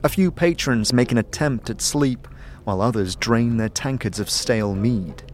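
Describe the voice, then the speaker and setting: A man's voice: mid-range voice, moderate pace, slight background noise. A man reading scripted fantasy narration dramatically, as the storyteller of an audio drama.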